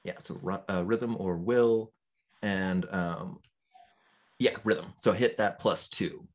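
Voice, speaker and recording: Male game master, lowish voice, unhurried, encouraging, lots of ums. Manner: encouraging, hesitant with frequent ums. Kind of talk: running a tabletop game over voice chat.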